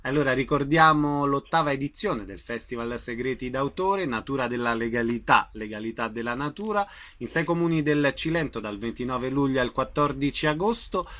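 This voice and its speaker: A man's voice: mid-range voice, moderate pace, few pauses, a bit bright. A man working as a radio interviewer, introducing a guest and asking short questions in a broadcast style.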